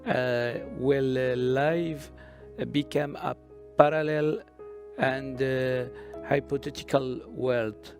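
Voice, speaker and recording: A middle-aged man from Syria, a Kurdish and Arabic native speaker, talking as a poet at a literary festival with many drawn-out "uh" pauses and then reciting poetry. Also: mid-range voice, fairly even intonation, soft background music. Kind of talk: hesitant prepared talk, then poetry recital.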